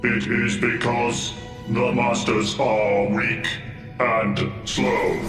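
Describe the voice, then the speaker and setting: A man playing a proud but fearful robot in a radio drama, speaking in a halting, deliberate way and repeating himself. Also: mid-range voice, level tone. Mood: rigid, troubled. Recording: echoey, music underneath.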